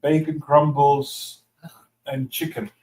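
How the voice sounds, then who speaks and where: A man who runs a crepe restaurant, chatting casually about his menu and pausing often with fillers. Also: fairly high pitch, lively intonation, darkish tone, echoey room, recorded from a distance. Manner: hesitant, many uh fillers while describing dishes. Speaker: restaurant owner. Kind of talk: casual chat.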